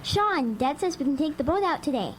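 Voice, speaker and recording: A woman's voice: high voice, very animated, brisk. A woman playing a curious, eager little girl in a radio drama, with childlike questioning. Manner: bright-eyed, childlike questioning. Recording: live radio recording, faint hum.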